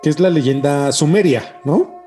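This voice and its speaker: A man's voice: fairly high pitch, unhurried, dark tone. A man with a Mexican accent co-hosting a casual podcast, often checking for agreement with little tag questions. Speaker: podcast co-host. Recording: music playing behind the voice. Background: music.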